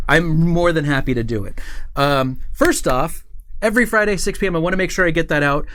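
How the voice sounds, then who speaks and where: A male live stream host with a somewhat high-pitched voice. He talks casually to his viewers, rambling through announcements in an informal, playful way. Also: fairly bright tone.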